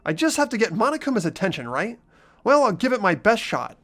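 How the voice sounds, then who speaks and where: A man's voice: sits high, sing-song, a little fast, a bit bright, soft background music, full character voice. A man voicing an earnest, anxious teenage schoolboy who narrates his own story in a visual novel game, expressively. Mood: nervous resolve.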